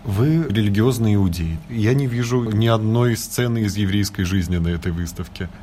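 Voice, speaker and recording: Low-voiced man asking probing questions. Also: moderate pace, mellow, a little hiss or hum. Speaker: interviewer. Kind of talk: interview at an exhibition. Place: photo exhibition.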